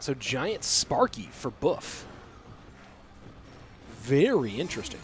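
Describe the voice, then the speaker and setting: A man's voice: mid-range voice, slow pace, a little hiss or hum. A young man with a stuffy nose from a cold, casually casting a match and chatting with viewers between plays. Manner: relaxed, chatty, answers chat questions between plays. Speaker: esports commentator. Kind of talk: solo play-by-play casting and reading chat.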